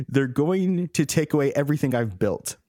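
A man co-hosting a film podcast, joking and animated, laughing often. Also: on the high side, measured pace, dry recording, clean studio sound. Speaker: podcast co-host. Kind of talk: casual chat.

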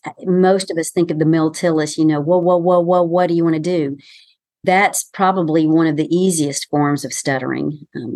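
A woman with a softened Southern American accent speaks in a low, even register. She explains communication skills in a measured coaching tone, and her voice sits close and dry with no room sound. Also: no echo. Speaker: communication consultant guest. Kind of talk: professional podcast interview.

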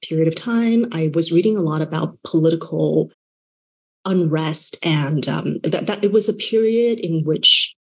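A woman's voice: deep voice, a little slow, husky, poor audio. A young adult woman from Taiwan, a novelist talking about her book in a casual interview, full of fillers and restarts. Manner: chatty, many fillers and restarts. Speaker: novelist guest.

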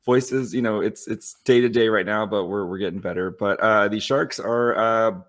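A man who is a podcast guest, his voice raspy after losing it, chatting casually about hockey. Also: fairly low pitch, moderate pace, a bit bright. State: raspy, partly lost voice. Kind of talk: casual chat.

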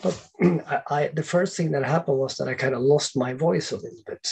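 A man who sings in a band, talking as a podcast guest in a halting, thoughtful way, often restarting his sentences. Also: fairly high pitch, gravelly, darkish tone, husky. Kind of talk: casual interview chat.

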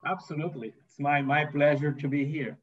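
A man's voice: a little high, a little fast, far from the mic, dull audio. A man in his fifties from Brazil with a Brazilian Portuguese accent, a non-native English speaker and manufacturing executive, answering interview questions hesitantly with many fillers and restarts.

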